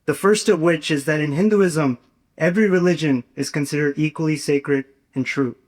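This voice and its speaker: Higher-voiced young man giving an earnest speech. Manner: earnest, rhetorical, measured phrasing. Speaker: student speaker at a campus gathering. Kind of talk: prepared inspirational speech.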